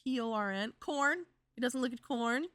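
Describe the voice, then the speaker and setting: A woman's voice: a little high, normal speed, fairly bright tone, dry recording. A woman in her thirties from Wisconsin hosting a podcast, a bit froggy and congested from a cold, chatty and upbeat as she reads stories aloud and adds asides. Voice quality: slightly froggy. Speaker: podcast host and boudoir photographer. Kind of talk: casual podcast chat, reading stories aloud.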